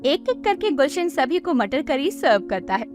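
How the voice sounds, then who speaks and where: High-pitched woman narrating a story. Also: very animated, normal speed, over music. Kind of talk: expressive scripted storytelling.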